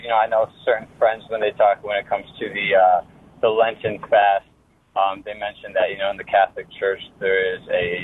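A man calling in to a radio show, whose first language is Greek, talking haltingly with many fillers and restarts. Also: fairly low pitch, slight background noise. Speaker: caller. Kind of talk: phone-in call. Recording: tinny phone line.

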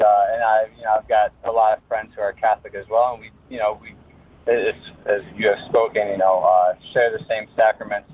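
A man calling in to a radio show, whose first language is Greek, talking haltingly with many fillers and restarts. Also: fairly low pitch, a little hiss or hum. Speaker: caller. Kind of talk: phone-in call. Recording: tinny phone line.

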